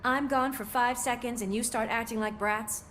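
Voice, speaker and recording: A no-nonsense policewoman, acted by a woman in a video game. Her voice is on the high side and her manner blunt, with faint noise behind. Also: fairly even intonation, normal speed, a bit bright, close to an everyday voice. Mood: scolding.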